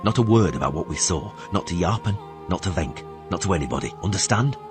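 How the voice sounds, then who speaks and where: A man's voice: deep voice, lively intonation, quick pace, over music. A man voicing a stern, guarded monster hunter and patient mentor in a fantasy audiobook. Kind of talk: audiobook character voice.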